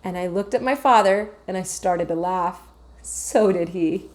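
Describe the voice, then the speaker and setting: A woman teacher reading a children's chapter book aloud with expressive, animated storytelling. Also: lowish voice, a little slow, slight background noise.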